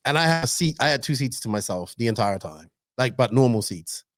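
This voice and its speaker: A man hosting a casual podcast, animated and teasing, rambling through his stories. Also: mid-range voice, fairly quick, no room sound, clean studio sound. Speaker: podcast host. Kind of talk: casual chat.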